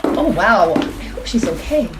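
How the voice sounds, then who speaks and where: A woman's voice: mid-range voice, expressive, measured pace, music in the background, natural voice. A woman playing a flippant, unworried boat passenger on a girls' night out in a radio play. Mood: breezy. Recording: noisy recording with clicks.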